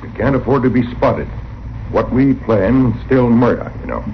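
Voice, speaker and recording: A man's voice: medium pitch, expressive, moderate pace, natural voice. A man playing a cold, scheming western outlaw who speaks in terse, menacing orders, in old-time radio style. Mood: cold, plotting. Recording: dull, hissy old radio recording.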